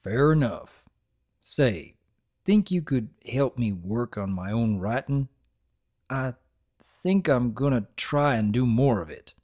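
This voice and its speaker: A narrator voicing a big, gentle male farm pony who talks in a folksy, earnest, laconic way. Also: medium pitch, lively intonation, takes it slowly, long pauses. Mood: mild, earnest.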